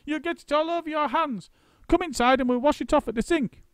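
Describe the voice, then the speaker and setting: A man voicing a spiteful, hysterical nasty old woman in a shrill screaming falsetto. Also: a little fast, no echo, studio quality. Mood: shrieking.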